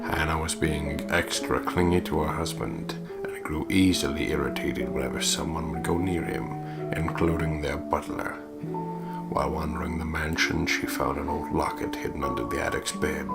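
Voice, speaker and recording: A man's voice: very deep voice, creaky. A man co-hosting a let's play, switching between casual, sweary jokes and reading game text aloud. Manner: jokey, sweary banter. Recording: music behind the voice. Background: guitar game music.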